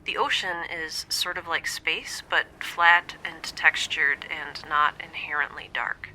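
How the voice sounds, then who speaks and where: An actress playing a lonely, wry young space scientist in an audio drama, confiding hesitantly and often dropping to a near-whisper over a log recording. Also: female voice, sits low, fairly even intonation, takes it slowly, pushed and bright, a little hiss or hum, exaggerated voice, rough recording. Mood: pensive, uneasy. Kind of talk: scripted audio drama monologue, intimate dream-log recording.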